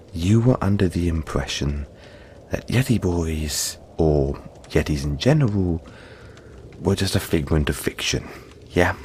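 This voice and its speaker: A man playing a huge, gentle, cuddly yeti boy in an intimate audio roleplay, talking politely and haltingly to reassure the listener. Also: low voice, slight background noise. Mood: amused, explanatory. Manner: soft-spoken reassurance, halting, polite and chatty.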